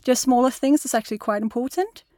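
A woman's voice: sits high, fast, warm tone, dry recording, studio quality. A young woman from Denmark with a Danish accent, talking as a guest in an interview, thoughtful, with frequent ums and likes. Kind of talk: casual interview conversation.

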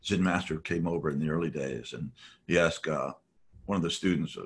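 A man's voice: a bass voice, a little fast, background noise. A man talking in a reflective, rambling way, with many fillers and restarts, as a podcast guest. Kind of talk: reflective conversational talk.

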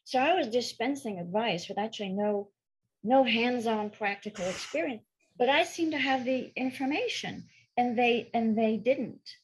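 A woman's voice: fairly high pitch, normal speed, husky, poor audio. A middle-aged woman from France, raised bilingual, telling her story as a therapist guest in a frank, matter-of-fact way. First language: French and English, bilingual. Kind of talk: storytelling interview answers.

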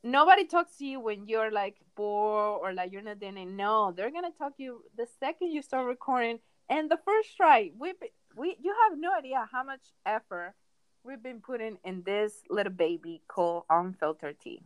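A woman's voice: a little high, animated, moderate pace, clear, resonant tone, no echo. A young woman from Venezuela, a native Spanish speaker with a Venezuelan accent, co-hosting a podcast and teasingly steering the casual chat. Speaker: podcast co-host.

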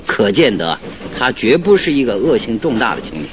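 An actor playing a confident male detective in a radio drama, reasoning out a case with deliberate, theatrical authority. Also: big pitch swings, close to an everyday voice. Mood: measured reasoning. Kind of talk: Mandarin radio drama acting. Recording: noisy old radio drama, train rumble behind.

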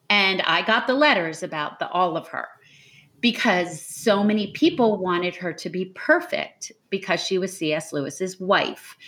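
A woman's voice: fairly low pitch, fairly bright tone. A woman giving an interview as a novelist, talking reflectively and with earnest emphasis, like someone telling a story.

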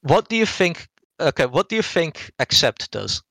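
A man's voice: on the high side, sing-song, vocal fry, sharp tone, no room sound. Hesitant man rambling through a game review. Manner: hesitant, halting delivery, often hedging and rephrasing. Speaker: podcast co-host reviewing game demos.

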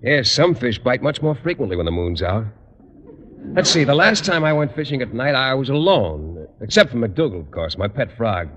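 An American actor playing an earnest, guileless, oblivious fishing enthusiast in 1940s radio comedy style. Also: male voice, mid-range voice, animated, normal speed, a bit bright. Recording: noisy, crackling vintage radio recording.

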